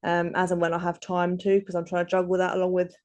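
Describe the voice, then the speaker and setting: Hesitant woman, low voice. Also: monotone, quick pace, a little mellow. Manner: hesitant, many ums and restarts. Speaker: guest author. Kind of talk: casual interview.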